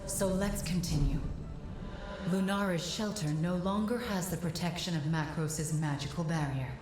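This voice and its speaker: An actress playing a calm, devoted construct who guides a warrior in a fantasy video game, composed and formal. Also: female voice, lowish voice, normal speed, lightly acted. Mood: calm, guiding. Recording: echoing, with loud music. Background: game music.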